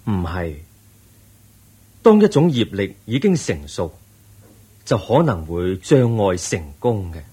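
沙哑低沉嘅佛陀. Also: male voice, sits low, big pitch swings, slightly rough, heavily acted. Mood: solemn, reassuring. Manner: measured, preaching, gently instructive. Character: calm, patient Buddha, a wise male teacher. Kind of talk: Cantonese radio drama acting.

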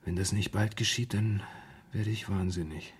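An actor in a German radio play playing an anguished man haunted by nightmares, speaking haltingly and repeating his words. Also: lowish voice, steady tone, breathy edge. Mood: desperate.

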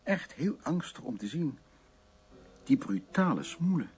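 In a radio drama, a brusque male art restorer. His voice is dark, husky and gravelly, and he talks in hesitant, muttered repetitions, often as if to himself. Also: mid-range voice, very animated, a little slow, exaggerated voice. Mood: agitated recollection. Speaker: actor in a radio play. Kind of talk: Dutch radio drama acting, dialogue and soliloquy.

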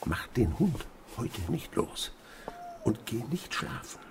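An actor playing a stern, secretive old miserly winemaker in a radio drama, giving curt orders. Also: male voice, mid-range voice, sing-song, slow, background noise. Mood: curt, commanding. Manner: curt, commanding.

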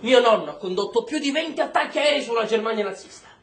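A man performs an embittered army colonel in a radio drama, sardonic and quick to flare up. His voice is bright and forceful with lively, swinging pitch, heard in an echoey room. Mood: bitter, indignant. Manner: sardonic, mock-polite, prone to flaring up. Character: embittered, volatile male army colonel running a prison camp. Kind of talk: stage-style radio drama acting.

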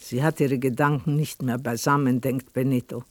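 A man's voice: mid-range voice, fairly even intonation, moderate pace, slight background noise, close to an everyday voice. A man narrating a literary radio drama in a scripted storytelling style.

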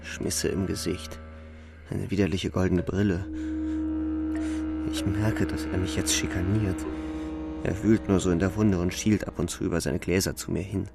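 An actor playing a wounded young soldier who narrates a radio drama in a terse, urgent, defiant way. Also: male voice, low-pitched, creaky. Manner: terse, urgent present-tense telling.

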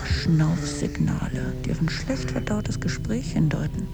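A woman playing a brooding, watchful writer in a radio drama, thinking aloud in a reflective, poetic, measured way. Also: low-pitched, big pitch swings, creaky, over loud music, exaggerated voice. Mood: quietly watchful. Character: brooding woman writer, watchful, searching, partner to a secretive man. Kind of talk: introspective radio drama monologue and dialogue. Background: musical instruments under the voice.

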